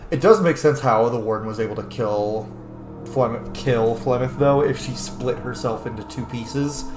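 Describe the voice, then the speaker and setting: A man's voice: mid-range voice, moderate pace, a little mellow. A man giving casual gameplay commentary as a video host, informal, joking and sometimes profane. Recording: roomy echo, faint noise.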